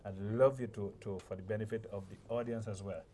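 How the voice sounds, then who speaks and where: A man's voice: on the low side, fairly even intonation, fast, dark tone, slight background noise. A man interviewing a poet, chatting along and backing him up with frequent short replies, sometimes telling stories of his own. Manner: encouraging with frequent backchannel replies. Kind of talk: conversational interview.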